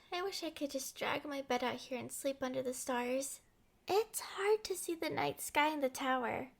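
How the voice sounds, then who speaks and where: Girl va doing a headstrong lovestruck princess for an asmr roleplay, really high voice, super lively and expressive. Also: vocal fry, exaggerated voice. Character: playful, headstrong young princess, lovestruck and sheltered. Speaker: voice actor. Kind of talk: roleplay audio acting, ASMR-style.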